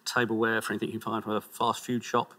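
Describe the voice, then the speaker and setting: A man's voice: fairly low pitch, monotone, quick pace, sharp tone. A man in his fifties, a quiz contestant, speaking plainly and matter-of-factly on a lapel mic in a TV studio. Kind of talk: casual chat on a game show.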